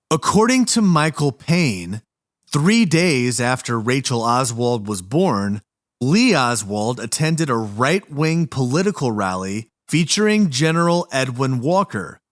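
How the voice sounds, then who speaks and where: A man reading scripted documentary narration as a podcast host, in a measured, explanatory manner. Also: mid-range voice, fairly bright tone.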